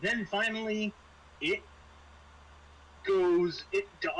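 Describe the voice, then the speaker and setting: Halting, higher-voiced man as game master. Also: a bit bright. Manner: halting, stammering, searches for words. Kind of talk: improvised narration of a tabletop horror game. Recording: noisy room recording with clear background noise.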